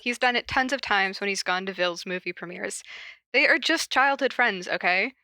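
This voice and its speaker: Bright-voiced woman podcaster, playfully teasing. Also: mid-range voice, normal speed, no room sound. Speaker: podcast co-host and fan fiction reader. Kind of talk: casual chat with reading aloud.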